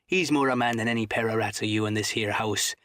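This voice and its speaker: A man voicing a cunning, commanding old pirate sea cook who drawls threats in rough seafaring dialect, as in an audiobook. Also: lowish voice, fast talker, fairly bright tone, no room sound, close to an everyday voice. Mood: roaring defiance. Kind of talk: dramatised audiobook reading.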